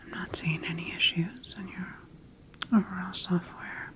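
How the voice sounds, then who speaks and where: A woman voicing a methodical scientist in an ASMR sci-fi medical roleplay, speaking in a measured, formal and gently instructing way. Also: lowish voice, level tone, low-quality recording. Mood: clinical, calm. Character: clinical, methodical female scientist examining her bioengineered monster creation.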